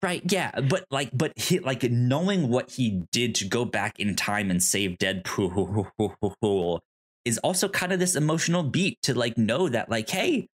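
A man co-hosting a podcast, discussing films casually in a halting, rambling way with easy laughter. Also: medium pitch. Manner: rambling, stammering, laughs easily. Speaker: podcast co-host.

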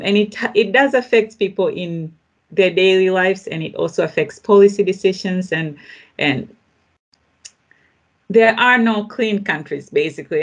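A woman speaks as a podcast host in a fairly low voice. She introduces and explains her subject deliberately, pausing and restarting as she thinks aloud. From Tanzania.

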